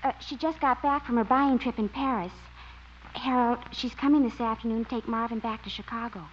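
An actress playing a kind, gently apologetic young female coworker in 1950s radio comedy. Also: a little high, steady tone, a little fast, natural voice. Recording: muffled old radio recording with hiss.

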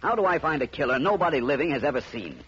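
A man playing a frustrated, sharp private investigator in a 1950s radio drama, with emphatic delivery. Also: on the high side, animated, fast, husky, exaggerated voice. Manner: emphatic, rhetorical questioning. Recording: muffled, noisy old radio recording.

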